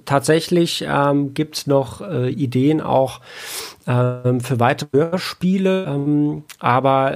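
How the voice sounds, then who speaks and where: A man's voice: medium pitch, gravelly. A man answering casually as an interview guest, hesitant and often searching for his words. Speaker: interview guest, audio drama producer and author.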